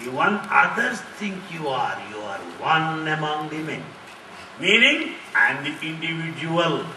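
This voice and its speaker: A middle-aged man answering audience questions in a lively talk, repeating key phrases and laughing often. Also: on the high side, measured pace. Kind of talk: lively lecture with jokes. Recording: echoey hall, distant mic, faint hiss.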